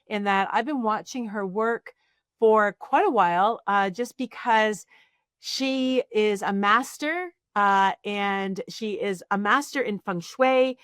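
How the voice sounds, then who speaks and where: A woman's voice: medium pitch, slow pace, a bit bright, clean tone, no room sound, clean studio sound. A woman hosting a podcast, moving between scripted introduction and interview questions. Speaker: podcast host.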